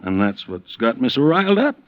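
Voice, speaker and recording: A man's voice: mid-range voice, huge pitch swings, a little fast, dry recording. An actor playing a folksy, talkative Southern US insurance agent in his late fifties in an old radio drama, with a drawl. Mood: riled, puzzled. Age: 50s. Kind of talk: 1950s radio drama acting. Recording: dull vintage radio recording.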